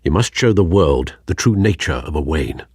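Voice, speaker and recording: Aging butler, fatherly, husky, expressive intonation, formal, gently scolding, dry game recording. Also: very deep voice, no echo, full character voice. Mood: reproving. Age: older. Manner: formal, measured, earnest. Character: fatherly older male butler, loyal, worried, gently reproving. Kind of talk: video game voice acting.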